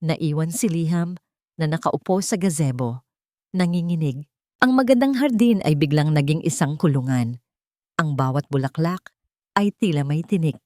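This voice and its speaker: A woman's voice: sits low, lively intonation, normal speed, darkish tone, no echo, studio quality. A woman with a Filipino accent reading a dramatic romance story as a scripted narration.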